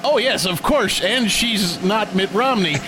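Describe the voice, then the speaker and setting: A man co-hosting a casual political banter podcast, sarcastic with a deadpan, mock-newsy delivery. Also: high voice, a little slow. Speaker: co-host.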